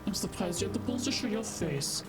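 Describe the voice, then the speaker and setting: A woman's voice: mid-range voice, expressive, fairly quick, lightly acted. A woman playing a threatening, scornful criminal enforcer who makes hard demands in a noir audio drama. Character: menacing, foul-mouthed female criminal enforcer with a gun. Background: loud cinematic music.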